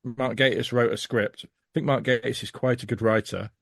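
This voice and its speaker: A man's voice: mid-range voice, fairly even intonation, normal speed, vocal fry, no room sound. A man with a mid-range voice talks as a podcast guest, recorded dry. His delivery is casual and conversational. He is blunt and opinionated, and swears freely.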